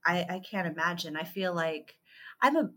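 A woman in her thirties from California, talking as an author guest in a podcast interview, halting and thoughtful with many fillers and restarts. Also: lowish voice, lively intonation, sharp tone. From California, USA.

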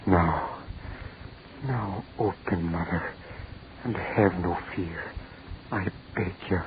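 An actor playing the weary, sorrowful ghost of a drowned son in a radio drama, speaking in a mournful, halting, pleading way. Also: male voice, sits low. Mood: reluctant, dread. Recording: dull, noisy old radio recording.

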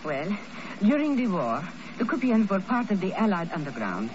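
A woman's voice: medium pitch, big pitch swings, moderate pace, close to an everyday voice. An actress playing an earnest, anxious female ally of a secret agent in 1950s radio drama, with careful, formal phrasing. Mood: explaining. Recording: muffled, noisy old radio recording.

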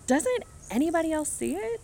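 A woman's voice: very high voice, very animated, normal speed, vocal fry, a little mellow, exaggerated voice. Woman acting a snide, swooping young gossip. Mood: incredulous. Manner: sarcastic, teasing, exaggerated. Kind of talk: naturalistic audio drama acting.

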